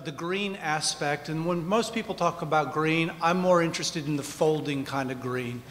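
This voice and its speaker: A man's voice: a little high, fairly bright tone, a little hiss or hum. A man speaking as a panelist on real estate, casually polling the audience. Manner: conversational, polls the room. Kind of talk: panel talk engaging the audience. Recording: reverberant room, faint ambience.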